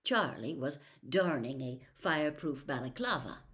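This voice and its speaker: A woman reads a story aloud in a fairly low register. She delivers scripted storybook narration. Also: expressive, measured pace, a bit bright. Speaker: audiobook reader.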